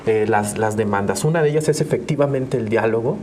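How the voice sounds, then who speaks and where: A man's voice: lowish voice, a little fast, darkish tone. A man with a Mexican accent speaking as a human rights advocate in a panel discussion, earnest and explanatory, often rephrasing himself. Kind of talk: spoken commentary in a panel discussion. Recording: clear background noise with room ambience.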